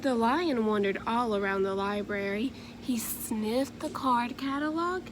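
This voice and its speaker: A woman narrates a children's story in a fairly high voice, taking her time with every phrase. Her delivery is expressive and measured, over clear background noise. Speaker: storybook reader.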